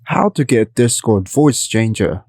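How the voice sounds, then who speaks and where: Male tutorial voice-over, mid pitch, dry close mic, even instructional read. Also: unhurried, no room sound. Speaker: tutorial narrator. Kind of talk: scripted step-by-step tutorial narration.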